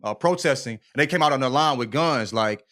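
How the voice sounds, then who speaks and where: A man's voice: medium pitch, expressive, fairly quick, a bit bright. A man co-hosting a podcast in a loose, slangy conversational style, swearing freely and often checking in with his listener. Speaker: podcast co-host. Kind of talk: casual conversational podcast discussion.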